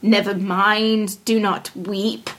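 A woman voicing an insistent, plaintive male frog in a fairy tale, speaking slowly and drawing out the words. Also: mid-range voice, flat delivery, a bit bright. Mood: slow, coaxing. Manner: slow, drawn-out, deliberate delivery. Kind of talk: fairy tale storybook character voice.

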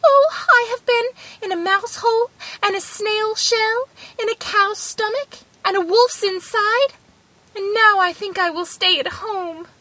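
A woman's voice: very high voice, measured pace, pushed and bright, no room sound, pitched above the performer's own voice, full character voice. A woman voicing a tiny, plucky, cheeky boy hero in a storybook tale, piping and exclamatory. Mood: cheerful.

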